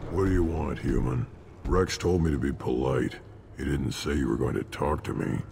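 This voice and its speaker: An actor playing a surly, blunt alien warrior in a video game, gruff and dismissive, with a deep gravelly voice. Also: male voice, normal speed, a little mellow. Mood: scornful, gruff. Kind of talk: video game character acting. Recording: long reverb, clear background noise.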